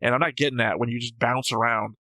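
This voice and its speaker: A man's voice: mid-range voice, fairly even intonation, quick pace, sharp tone, no room sound. A man talking casually as a reaction show host, opinionated and analytical, with a blunt, flippant way of speaking.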